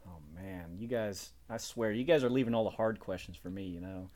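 A woman's voice: very deep voice, fairly quick, warm tone. A woman chatting casually as a panelist, jokey and given to rambling asides. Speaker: panelist on a group question-and-answer chat. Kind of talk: casual chat.

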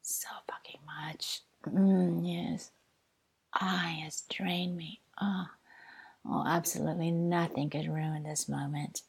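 Slow, husky, whispery woman. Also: fairly low pitch, level tone. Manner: soft, soothing, often whispered; tender, doting. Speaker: audio roleplay performer. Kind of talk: intimate audio roleplay.

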